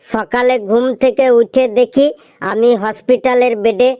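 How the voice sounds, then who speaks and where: High, clear cartoon schoolkid played by a woman. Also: steady tone, measured pace, dark tone, dry recording, studio quality, lightly acted. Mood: meek excuses. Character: cheeky, excuse-making school pupil in a comedy cartoon. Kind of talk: comedy cartoon dialogue.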